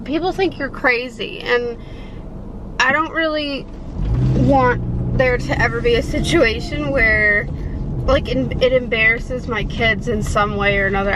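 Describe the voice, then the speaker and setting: A young woman talks to her camera in a loose, rambling way, leaning on fillers as she thinks aloud. Her voice sits a little high with a noticeable gravelly fry, and she sounds stuffed up from a sinus infection. Faint background noise runs under the recording. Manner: rambling, many fillers like "like" and "I don't know". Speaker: vlogger. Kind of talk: casual chat to camera. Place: in a car, then at home.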